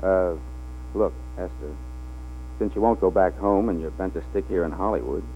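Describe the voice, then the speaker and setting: An actor playing an easygoing, kindly movie-studio pal in a 1940s radio drama, on a tinny, humming recording. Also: male voice, sits low, moderate pace, a little hiss or hum, natural voice. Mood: hesitant.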